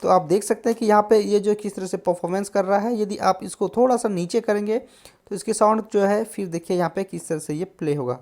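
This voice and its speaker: A man hosting a casual tutorial on music software, explaining step by step and often adding short check-in tags. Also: high voice, fairly quick. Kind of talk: casual screen-recorded tutorial.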